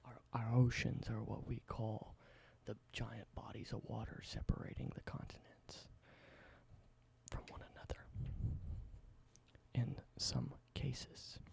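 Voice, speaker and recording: A man doing a whispered ASMR roleplay, explaining things slowly and correcting himself now and then. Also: medium pitch, level tone, creaky. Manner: soft whisper, slow explanations with small self-corrections. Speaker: ASMR creator.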